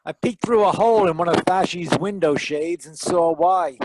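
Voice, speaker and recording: An actor playing a wry, hardboiled private detective in an old-time radio drama, narrating in dramatic noir fashion. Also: male voice, high voice, normal speed, heavy background noise, lightly acted. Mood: businesslike.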